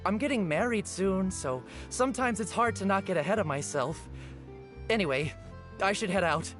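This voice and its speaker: A man's voice: high voice, animated, normal speed, fairly bright tone, faint music behind. A man voicing an eager, humble young newspaper seller in a video game, polite, apologetic and flustered. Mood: grateful, sheepish. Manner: polite, flustered, self-effacing. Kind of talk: video game character dialogue.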